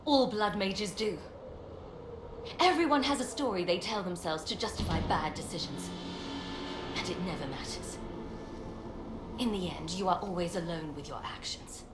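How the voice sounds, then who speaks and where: An actress playing a stern, disillusioned fantasy adventurer in a video game, grave and bitter in manner. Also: female voice, lowish voice, normal speed, long pauses, over music, lightly acted. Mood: world-weary. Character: stern, disillusioned female fantasy adventurer, judgmental and world-weary. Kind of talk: dramatic fantasy game dialogue. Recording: echoey, with clear background noise and ambience.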